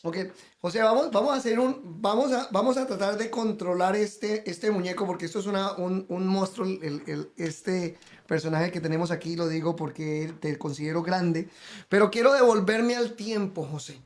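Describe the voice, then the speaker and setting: A man with a strikingly high voice hosts a podcast interview. He speaks emphatically, like a coach rallying his audience, and he keeps turning back to his guest by name. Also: normal speed. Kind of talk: energetic interview hosting.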